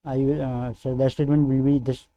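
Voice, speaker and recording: A young man from northern India, a native Hindi speaker with a North Indian accent, answering formal interview questions as a candidate in a halting, deferential way. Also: medium pitch, little pitch movement, dull audio, noisy background. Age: young adult. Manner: hesitant, halting, deferential, often says sir. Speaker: civil service candidate in mock interview.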